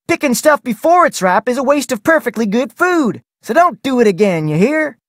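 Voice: high-pitched, very animated, normal speed, a bit bright, dry recording, studio quality. An actor voicing a folksy ranch cook in a mystery video game, with colloquial Western talk. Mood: scolding. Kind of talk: video game character voice lines.

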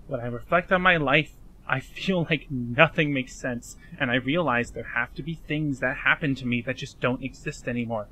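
A man playing a frightened, despairing young man in an emotional fan-dub drama, confiding haltingly and pleading. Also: mid-range voice, pushed and bright, slight background noise. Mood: bleak, despairing.

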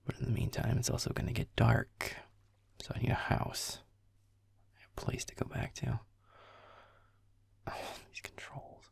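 Man acting a gravelly, grumpy young werewolf boyfriend. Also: mid-range voice, fairly even intonation, unhurried, long pauses, dry recording, studio quality, full character voice. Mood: irritated, exasperated. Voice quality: gravelly, breathy near-whisper. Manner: muttering, grudging, sarcastic, sweary. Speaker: audio roleplay performer. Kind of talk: intimate audio roleplay over gameplay.

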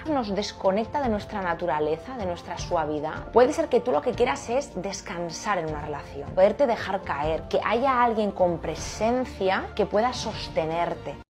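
Woman, calm advice monologue, persuasive, faint background music. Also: medium pitch, moderate pace, hardly any pauses. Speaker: relationship advisor in a played clip.

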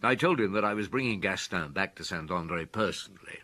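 A measured, expressive chief inspector. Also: male voice, low voice, exaggerated voice. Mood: calm, businesslike. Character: courteous, shrewd Parisian chief inspector, male. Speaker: actor in a radio drama. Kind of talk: radio drama acting.

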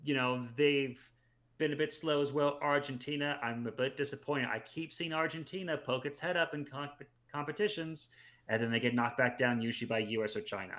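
A man's voice: medium pitch, a little fast, a bit bright. A man in his thirties on a headset, talking sports at home in a casual, analytical way, running through results at length. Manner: talkative, analytical, restarts mid-phrase. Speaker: podcast guest.

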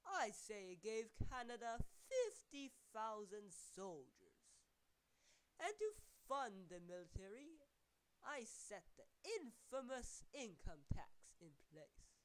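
A woman's voice: on the high side, very animated, slow pace. A woman playing a proud, formal elderly male statesman in a theatrical, declamatory historical monologue. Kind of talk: scripted first-person historical monologue.

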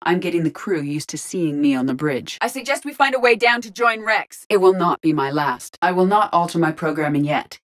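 An actress voicing a female artificial intelligence in a video game, speaking in a measured, formal, precise and detached way. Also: on the low side, expressive, a bit bright. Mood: calm, analytical. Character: calm, analytical female artificial intelligence in an android body.

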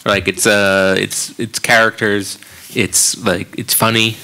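Man, gravelly voice, bright tone, loose rambling questions, stage panel. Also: fairly low pitch, level tone, slow. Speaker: podcast co-host. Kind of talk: live panel conversation. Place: large hall stage.

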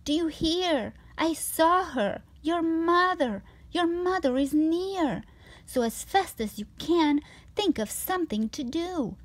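A woman's voice: very high-pitched, animated, slow, pitched above the performer's own voice, exaggerated voice. A woman voicing an anxious, bossy pet fish in a children's storybook, fretting and scolding emphatically. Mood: frantic, fearful. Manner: emphatic, alarmed, repeating words. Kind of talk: children's storybook read-aloud.

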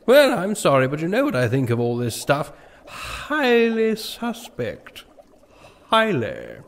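A man's voice: a little high, huge pitch swings, slow pace, heavily acted. An actor playing a bumbling king in a comic audio drama, hesitant, stammering and blustery. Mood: sceptical, blustery. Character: bumbling, dithering, sceptical old-fashioned king.

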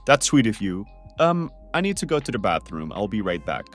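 A man's voice: lowish voice, very animated, a little fast, soft background music. A young man voicing a popular teenage boy who is nervous and stammers a lot, juggling two dates. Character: popular teen boy, flustered two-timer. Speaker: voice actor in a fan-made game drama.

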